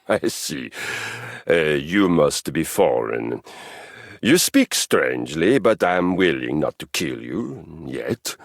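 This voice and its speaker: An actor playing a boastful, brutal Viking-like fitness object in a video game, grandiose and formal, with a gravelly, bellowing voice. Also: male voice, deep voice, no room sound, heavily acted. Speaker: dating game character. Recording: dry studio recording.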